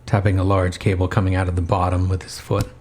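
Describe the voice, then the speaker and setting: A man narrates a horror story in the first person. His voice is low and stays very even, with a faint noise behind it. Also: quick pace.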